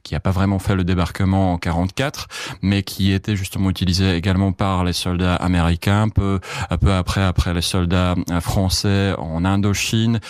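A man speaks in a deep, low voice, pausing often and restarting his sentences. The sound is dry and close, with no room around it. He answers questions as a journalist. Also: level tone, smooth, no echo. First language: Czech. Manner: hesitant, many fillers and restarts. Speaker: journalist, correspondent in France. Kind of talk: interview answers.

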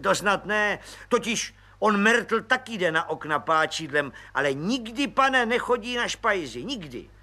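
Man putting on a high-pitched chatty police agent, overfamiliar, eager, slangy, lively sing-song voice, bright tone. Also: few pauses. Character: chatty red-haired little male police agent. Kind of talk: audiobook character voice.

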